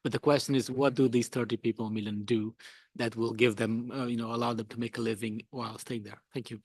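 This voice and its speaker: A man talks quickly in a mid-pitched voice, recorded dry. He is an academic asking a spontaneous question from the floor. His delivery is informal and halting, with frequent self-corrections. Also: little pitch movement, a little mellow, dry recording. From Brazil.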